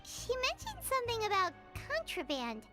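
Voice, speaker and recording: Squeaky, childlike fairy girl sidekick. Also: wildly swooping pitch, moderate pace, loud music behind the voice, heavily acted. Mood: amazed, greedy. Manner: childlike, refers to self in third person. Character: tiny excitable floating fairy girl companion, cheeky, food-loving. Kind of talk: English video game voice acting.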